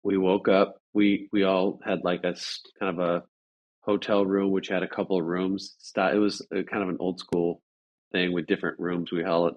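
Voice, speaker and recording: A man's voice: low voice, level tone, normal speed, lo-fi sound. A man telling an anecdote as an interview guest, casual and agreeable, often stopping to correct himself.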